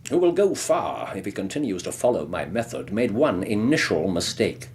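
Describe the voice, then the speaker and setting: An actor playing a brilliant, self-assured English detective in a radio drama, precise, lecturing and wry. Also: male voice, fairly low pitch, a little hiss or hum. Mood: amused, self-satisfied.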